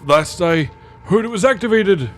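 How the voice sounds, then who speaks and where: Animated, higher-pitched man running a tabletop game. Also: moderate pace, slight background noise. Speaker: game master. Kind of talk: tabletop game narration and in-character talk.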